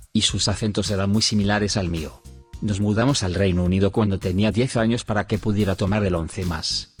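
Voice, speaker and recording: A man reading internet stories aloud in a steady, even scripted narration. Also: low-pitched, slightly rough. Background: soft background music.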